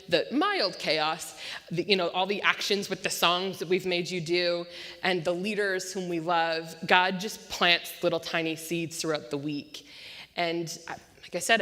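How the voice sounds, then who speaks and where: A woman pastor preaching a sermon with an unusually loud voice, talking fast and slipping in self-deprecating jokes. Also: lowish voice. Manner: self-deprecating joking asides; tends to speak fast. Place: church sanctuary. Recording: long church-hall reverb.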